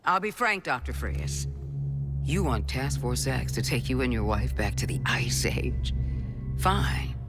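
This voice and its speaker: An actor playing a shrewd, manipulative negotiator with a dry, persuasive way of talking in a video game cutscene. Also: male voice, mid-range voice, big pitch swings, unhurried. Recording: game music behind.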